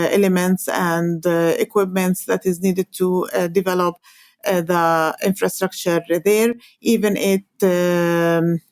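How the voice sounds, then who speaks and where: A woman from Palestine with a Palestinian Arabic accent, a native Arabic speaker, explaining her work as an interview guest in halting, hesitant phrases with frequent pauses. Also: mid-range voice, darkish tone, dry recording, clean studio sound. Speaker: interview guest, environmental organization coordinator.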